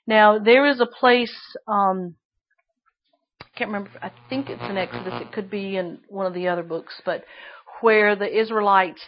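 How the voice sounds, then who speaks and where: A woman preaching a sermon with a shrill voice, emphatic and repeating phrases for stress. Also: highs cut off.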